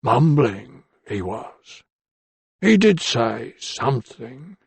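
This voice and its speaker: A man's voice: mid-range voice, sing-song, very slow, creaky, a little mellow, breathy edge, dry recording, full character voice. A man voicing a timid, grasping ironmonger with a thin, bleating voice and a wheedling, deferential manner. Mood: sly. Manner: wheedling and deferential, keeps saying sir. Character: timid, grasping male shopkeeper, working class.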